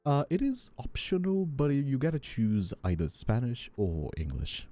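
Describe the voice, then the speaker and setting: A man's voice: mid-range voice, wildly swooping pitch, a little slow, dark tone, soft background music. A man, a Portuguese speaker, chatting casually on a livestream in a rambling, teasing way that often repeats phrases. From Brazil. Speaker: streamer and ASMR voice actor.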